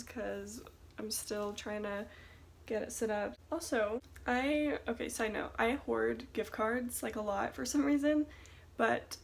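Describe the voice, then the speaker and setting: A woman in her early twenties vlogging in a casual, chatty way, rambling informally with conversational asides. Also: a little high. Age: early 20s.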